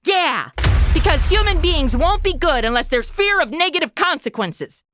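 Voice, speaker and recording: A woman's voice: sits high, expressive, normal speed, noisy background. A woman playing a sarcastic, sassy young modern woman, snarky and exclaiming, in a comedy audio drama. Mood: snarky alarm. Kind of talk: comic audio drama acting.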